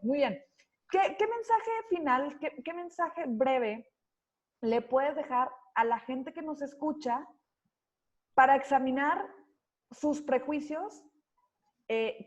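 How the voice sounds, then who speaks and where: A woman's voice: high-pitched, lo-fi sound. A Mexican woman hosting an online talk in an informal, chatty and courteous way. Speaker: host.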